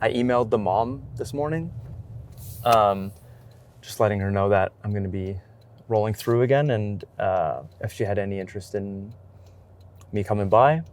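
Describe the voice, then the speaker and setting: A man chatting casually and informally as a documentary photographer on the road, in a loose, halting way. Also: fairly low pitch, a little mellow, slight background noise. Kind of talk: casual vlog chat while out shooting.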